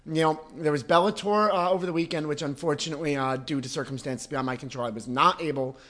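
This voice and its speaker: A man's voice: a little high, quick pace, sharp tone. A man hosting a podcast, chatting casually, laughing easily and setting up long questions. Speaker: podcast host.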